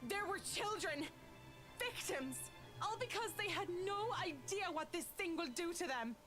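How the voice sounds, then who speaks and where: A woman's voice: extremely high pitch, expressive, moderate pace. An actress playing a distraught, haunted young woman, pleading and emphatic in dramatic visual novel style. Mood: haunted, horrified. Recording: music behind the voice.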